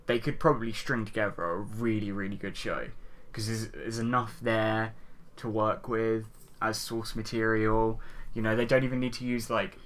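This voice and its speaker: Male podcaster, slightly deep but forward, bright tone, casual opinionated chat. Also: flat delivery, moderate pace. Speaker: podcast panelist.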